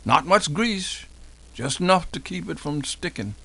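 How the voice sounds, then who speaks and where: Casting: male reader as elderly formerly enslaved American man, slightly high voice, folksy dialect, first-person oral-history drama. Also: animated, a little slow, vocal fry, bright, forceful tone, breathy edge, heavily acted. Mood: plain reminiscing. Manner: folksy, dialect-heavy storytelling. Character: elderly formerly enslaved Missouri man recalling his childhood. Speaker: professor doing a dramatic reading.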